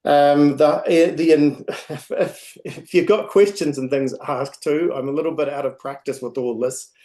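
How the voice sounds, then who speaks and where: A man's voice: a little high, fairly even intonation, moderate pace, warm tone. A man with a New Zealand accent giving an informal talk on a video call, hesitant with many ums and restarts. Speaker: guest speaker, project founder.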